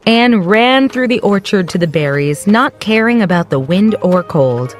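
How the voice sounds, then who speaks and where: A woman giving scripted narration for a children's story in a clear storytelling delivery. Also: medium pitch, lively intonation, unhurried, soft background music.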